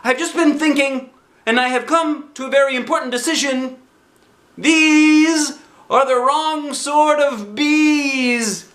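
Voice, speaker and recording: Storybook toy bear: very high voice, earnest, fretful, ponderous and slow, slightly silly. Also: male voice, fairly bright tone, reverberant, exaggerated voice. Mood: flustered, decided. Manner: ponderous, solemn, drawn-out phrasing. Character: earnest, anxious, silly old honey-loving toy bear. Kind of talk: children's storybook read-aloud.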